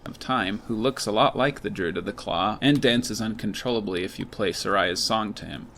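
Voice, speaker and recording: A man's voice: fairly low pitch, normal speed, vocal fry, fairly bright tone, a little hiss or hum. A man reading scripted narration as he explains references in a video game. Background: background music.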